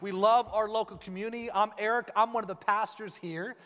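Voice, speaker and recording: A man's voice: sits high, a little fast, over music. A man preaching a sermon as pastor, joking and acting out stories with quoted voices. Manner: lively, joking storyteller, acts out quoted voices. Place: church sanctuary. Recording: long reverb of a church hall.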